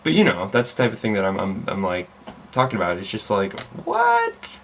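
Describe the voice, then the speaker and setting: A man's voice: on the low side, wildly swooping pitch, moderate pace, vocal fry, fairly bright tone, a little hiss or hum. Young man with an American-Leeds accent. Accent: mixed American and Leeds. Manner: rambling, self-interrupting, with fillers. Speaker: vlogger. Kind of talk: casual chat to camera. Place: home room at a computer desk.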